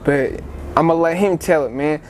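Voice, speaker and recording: A man's voice: on the high side, normal speed, darkish tone. A man hosting an interview in casual, slang-filled conversational talk. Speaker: interview host. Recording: clear background noise, ambience.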